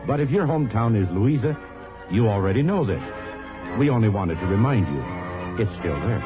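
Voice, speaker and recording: Male announcer, folksy scripted radio read, animated, music clearly behind. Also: on the low side, moderate pace. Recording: tinny old radio, loud music behind.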